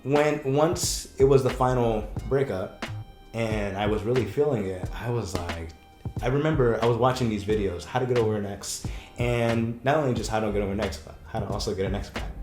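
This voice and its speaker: A man's voice: fairly low pitch, fairly quick, music in the background. Chatty guy rambling and laughing, guest on a podcast, roomy echo. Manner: animated storyteller, laughs often, rambling restarts. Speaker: breakup coach guest. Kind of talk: casual podcast chat.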